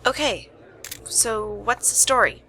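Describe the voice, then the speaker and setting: A woman's voice: fairly high pitch, slow, bright, forceful tone, heavily acted. A woman playing a wry, weary young private detective in an amateur radio play, sardonic and businesslike. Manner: sardonic, exasperated sighs.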